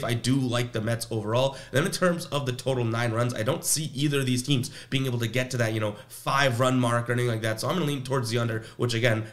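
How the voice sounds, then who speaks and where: A young man runs through his betting picks in a loose, conversational ramble, often stopping to question himself. He talks quickly at a mid pitch. A slight rasp from a coming cold sits in his voice. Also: fairly bright tone. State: slightly raspy from coming down with something. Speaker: sports betting host. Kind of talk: fast casual betting picks monologue.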